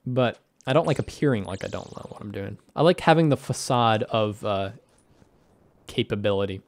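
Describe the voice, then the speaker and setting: A young man, slightly hoarse, rambling through casual game commentary with self-deprecating asides and frequent laughs. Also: fairly low pitch, moderate pace, dry recording. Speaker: gaming commentator.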